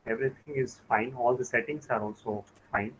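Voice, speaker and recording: Halting male online lecturer from India. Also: medium pitch. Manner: slow, halting delivery with frequent "uh" fillers. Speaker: online class instructor. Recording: dull lo-fi call audio with faint noise.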